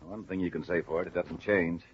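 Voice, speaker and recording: An actor playing a talkative, puzzled male insurance man in a 1950s radio drama, chatty and deliberate. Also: low voice, fairly even intonation, natural voice. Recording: muffled, noisy old radio recording.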